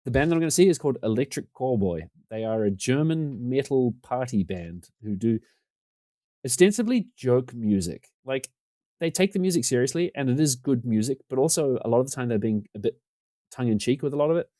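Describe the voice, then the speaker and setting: Talkative New man, low and mellow. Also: lively intonation, a little fast, dry recording, clean studio sound. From New Zealand. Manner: talkative, rambling, self-deprecating. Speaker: podcast guest. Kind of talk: casual conversation. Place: home room.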